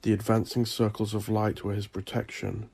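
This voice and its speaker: Low, mellow, gravelly man narrating horror. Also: level tone, normal speed. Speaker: audiobook narrator. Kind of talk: scripted dark fantasy horror narration.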